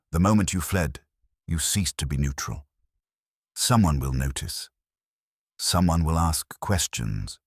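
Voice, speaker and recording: A man plays a commanding private detective of cool logic in an audiobook mystery. He speaks formally and deliberately, with pompous precision, in a very deep, husky voice. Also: no echo, clean studio sound, heavily acted. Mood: cool warning.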